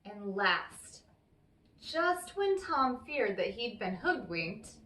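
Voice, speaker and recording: A woman narrates a children's tale at an unhurried pace with very animated intonation. Her expressive storytelling is recorded in a roomy space, away from the mic. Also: medium pitch. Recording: roomy, echoey, distant microphone.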